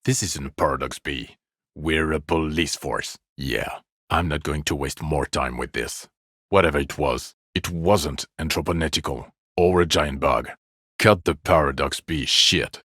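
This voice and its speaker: A bitter, sardonic police detective for a video game. His voice is extremely low yet forceful and sharp-edged. He is scornful and profane, recorded dry with no room sound. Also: measured pace, dry recording, heavily acted. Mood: exasperated.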